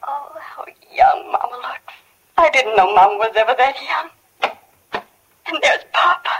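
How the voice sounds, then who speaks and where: Breathy, high-voiced anguished young wife. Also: female voice, expressive, a little slow, fairly bright tone, exaggerated voice, poor audio. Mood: wistful. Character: young wife in anguish, revisiting her childhood after death. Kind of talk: 1940s radio drama acting. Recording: old 1940 radio broadcast, faint music behind.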